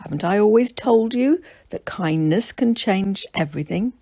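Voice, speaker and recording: Woman voicing a commanding old grandmother, slightly creaky. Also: mid-range voice, expressive, moderate pace, warm tone, no echo, clean studio sound, pitched above the performer's own voice. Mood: gently reproving. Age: older. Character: commanding old grandmother, stern yet gently grateful.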